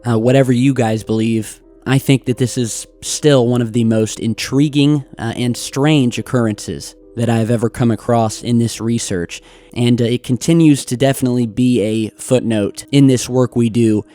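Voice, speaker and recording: A man hosting a video, giving earnest, deliberate scripted commentary. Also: mid-range voice, steady tone, mellow. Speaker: YouTube host. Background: music.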